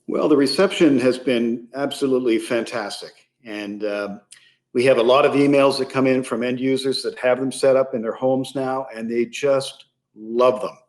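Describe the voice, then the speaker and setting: A man with a mid-pitched voice gives explanatory product talk as an interview guest. His delivery is measured, and he often pauses partway through a sentence to find the next phrase. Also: steady tone. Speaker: interview guest, loudspeaker company sales executive.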